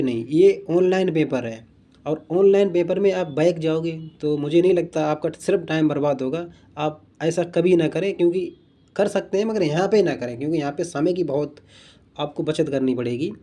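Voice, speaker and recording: A man's voice: fairly high pitch, warm tone. A young man giving informal exam strategy advice as a coaching instructor, earnest and repetitive in the way he addresses his listeners.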